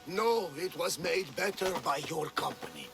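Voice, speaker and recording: An actor playing an excitable, devout older Greek man with a gravelly voice and a theatrical, reverent manner in a video game. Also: high voice, moderate pace, music in the background. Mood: devout, earnest. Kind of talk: video game dialogue acting.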